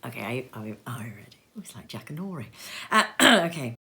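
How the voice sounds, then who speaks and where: A middle-aged woman reading a classic novel aloud at home in an expressive, theatrical way with playful asides. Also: rumbling low, a little fast, a little hiss or hum. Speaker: reader. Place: cozy sitting room at home. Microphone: seated in a chair facing the camera.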